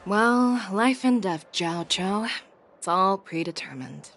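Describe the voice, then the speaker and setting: A woman's voice: medium pitch, lively intonation, slow pace, a bit bright, heavily acted. A woman voicing a confident, battle-hardened female general in a game, blunt, commanding and composed. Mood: resolute.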